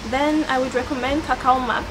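A young woman in her twenties chatting casually while giving an outdoor campus walking tour, friendly and quick to laugh. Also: high-pitched, fairly even intonation, very noisy. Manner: chatty, laughs often, friendly advice to viewers. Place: outdoors on a street and campus grounds.

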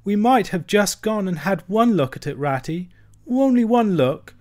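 A man's voice: animated, normal speed, a little mellow. A man doing a sobbing little Mole. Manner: sobbing, pleading, high and broken. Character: tearful homesick male mole, small and timid.

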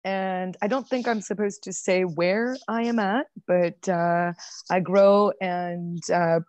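A woman's voice: on the low side, fairly even intonation, slow pace, darkish tone, dry recording. An American woman who makes wine, introducing herself hesitantly from a phone on a video call. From United States. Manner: hesitant, with fillers. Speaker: winemaker. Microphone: phone at arm's length.

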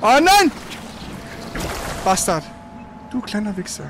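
Male let's player, casual and excitable, colloquial live game commentary over clearly audible game noise. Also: high voice, slow pace. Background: loud video game sound.

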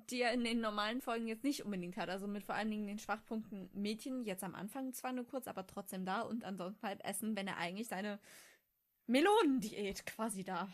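Higher-voiced woman in casual chat. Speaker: podcast co-host.

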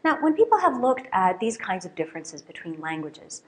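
A middle-aged woman, a native Russian speaker, giving a conference keynote at a lectern in a lecture hall, lively and often checking in with "right?". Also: medium pitch, moderate pace. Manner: lively, questioning, with frequent "right?" and fillers. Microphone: lectern microphone.

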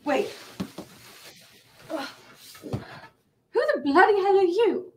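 A woman's voice: very high-pitched, animated, drawn-out words, darkish tone, slight background noise, full character voice. A young woman playing a haughty, lovelorn cosplayer in a comedy web series, speaking with theatrical, indignant flourish. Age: young adult.

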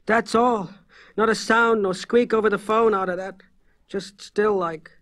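Anxious high-voiced country villager. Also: male voice, fairly even intonation, unhurried, fairly bright tone, no room sound, clean studio sound. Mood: anxious, fatalistic. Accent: rural New England. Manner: anxious, folksy. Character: fearful, pious rural New England villager.

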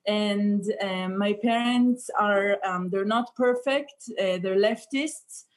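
A woman's voice: mid-range voice, slow. A woman, a stand-up comedian chatting and joking on a video call with many fillers. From Israel. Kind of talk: comic chat on a video call. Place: indoor room. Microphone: laptop webcam on video call.

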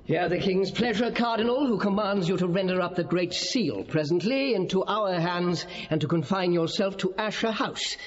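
Actor as high-voiced, stern noble messenger. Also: male voice, moderate pace, hardly any pauses, lightly acted. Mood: commanding. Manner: formal, proclaiming. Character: stern noble lord bearing royal commands. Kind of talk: classical Shakespearean audio drama acting. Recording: long hall reverb, slightly muffled.

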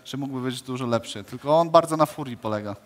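Measured young Polish man, reverberant stage. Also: medium pitch. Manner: measured, explains at length. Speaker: fighter answering questions. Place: indoor studio stage. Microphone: headset mic.

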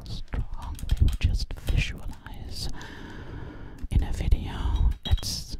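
A woman's voice: slow pace, natural voice. A woman playing a vain, sinister witch in an ASMR roleplay, whispering breathily close to the microphone and lingering on repeated words. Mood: playful, boastful.

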